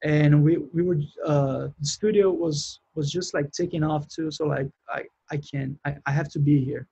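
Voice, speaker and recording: A man with a Brazilian accent and a slightly higher, breathy voice tells his story in an easy, unpolished way. He restarts often and fills gaps with small words. The sound is muffled and lo-fi. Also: steady tone, normal speed, dark tone. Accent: Brazilian Portuguese. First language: Portuguese. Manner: rambling, many restarts and fillers. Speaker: sound engineer guest. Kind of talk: casual interview chat.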